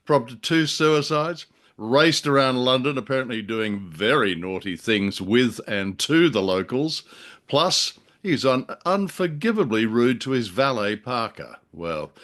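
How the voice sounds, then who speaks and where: A man's voice: mid-range voice, expressive, measured pace, a bit bright. A man co-hosting a casual discussion show, wry and joking in a conversational way. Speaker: podcast co-host. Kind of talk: casual discussion of a classic drama.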